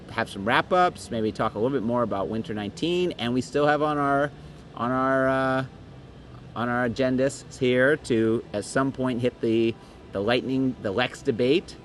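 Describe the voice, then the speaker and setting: Casual middle-aged male podcast co-host, rambling and joking, hoarse voice, faint background hum. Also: mid-range voice, unhurried. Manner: rambling, self-interrupting and joking. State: voice going hoarse. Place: busy conference venue.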